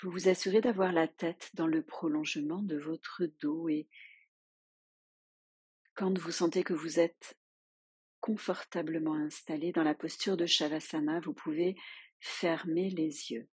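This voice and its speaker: A woman leading a guided yoga nidra relaxation as a teacher, giving soothing, unhurried instructions with long pauses. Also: low voice, fairly even intonation, breathy edge, no echo.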